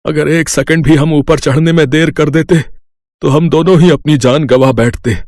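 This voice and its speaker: A man's voice: a little high, fairly even intonation, moderate pace, darkish tone, dry recording, clean studio sound, lightly acted. A man playing a stern, middle-aged senior railway official in a narrated story, speaking with authority. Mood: grateful. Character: stern older senior railway official, grateful then scolding. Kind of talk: acted dialogue in a narrated story.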